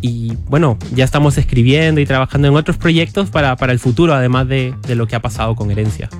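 A young man, a filmmaker answering interview questions in a relaxed, hesitant way, with many pauses and restarts. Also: medium pitch, soft background music. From Costa Rica. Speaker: guest filmmaker.

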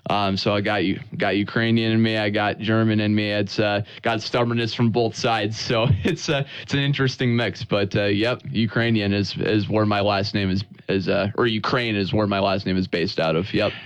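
An American man with a fairly low, clear, resonant voice speaks as a guest in a radio interview. He is a sports broadcaster who talks earnestly and conversationally, often doubling back on words. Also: fairly even intonation, no room sound. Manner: earnest, halting with repeated words.

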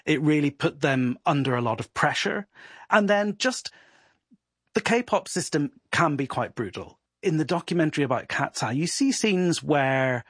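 A man, a guest reporter, explaining at length in a steady, informed way on a podcast. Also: mid-range voice, husky, no room sound, clean studio sound.

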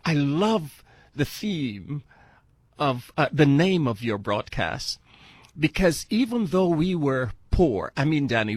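An older man from Barbados, shares his life story as a guest. His voice sits at a middle pitch in a dry recording. He is earnest and deliberate, returning to phrases to stress them. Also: dry recording. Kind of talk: personal testimony storytelling.

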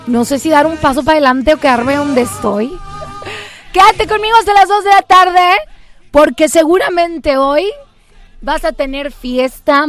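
A high-pitched Mexican woman hosts a festive radio show from her studio booth. She talks with a Mexican accent, teasing and joking, and now and then shouts a cheer. Faint music plays behind her. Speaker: radio host. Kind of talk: lively radio show hosting.

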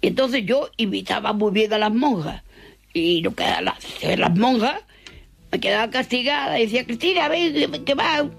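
A woman's voice: fairly high pitch, expressive, moderate pace, creaky, husky. A woman casually telling an anecdote as an interviewee.

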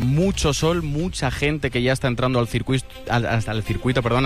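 A man giving a live sports report. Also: mid-range voice.

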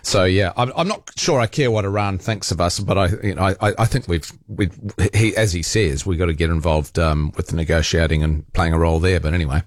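A man's voice: deep voice, brisk, hardly any pauses, dry recording, clean studio sound. A man with a New Zealand accent hosting talkback radio, chatting casually with callers in hesitant, conversational phrasing.

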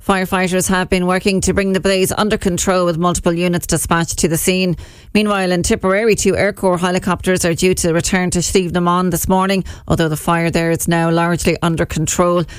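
A woman with an Irish accent reading a radio news bulletin in a steady, measured delivery. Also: fairly low pitch, smooth, dry recording. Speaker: radio newsreader.

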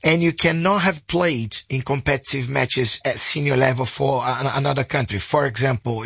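Man, from Brazil, sore throat, husky, dry close mic, animated talk. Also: mid-range voice, dry recording. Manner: animated, restarts phrases. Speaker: journalist guest. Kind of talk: conversational radio interview.

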